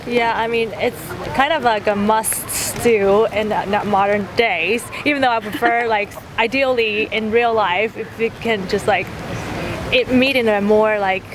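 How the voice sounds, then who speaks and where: A young woman from Taiwan chatting in a street interview, thoughtful and quick to laugh. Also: on the high side, steady tone. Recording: street noise behind.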